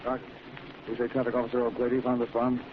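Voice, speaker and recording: An actor playing a busy, irritable police commissioner in an old radio drama, impatient and official in manner. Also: male voice, medium pitch, monotone, fast, close to an everyday voice. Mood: brisk, baffled. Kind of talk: 1940s radio acting. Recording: muffled noisy old radio recording.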